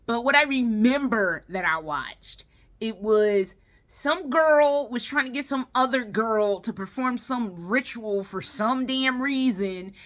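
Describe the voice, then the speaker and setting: A woman's voice: a little high, slow pace, a bit bright. A tired woman giving a casual, rambling review in a vlog, blunt and freely profane as she talks. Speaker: video game and movie vlogger.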